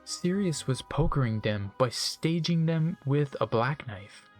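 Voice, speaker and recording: A mid-pitched narrator reads a story theatrically, as a comic dramatic reading. The delivery drops into deadpan asides, with faint music behind. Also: unhurried.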